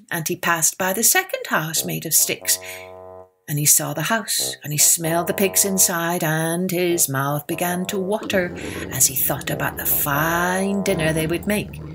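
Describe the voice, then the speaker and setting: A woman narrating a scripted children's tale as a storyteller, with expressive, deliberate phrasing. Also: lowish voice. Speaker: storyteller and podcast host.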